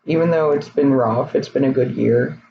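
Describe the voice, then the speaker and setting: A young man in his twenties, his voice a little raspy, talking candidly and hesitantly in a personal vlog. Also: medium pitch, normal speed. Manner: candid, rambling, hesitant with many fillers. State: slightly raspy voice. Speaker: vlogger. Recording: slightly dull, faint noise.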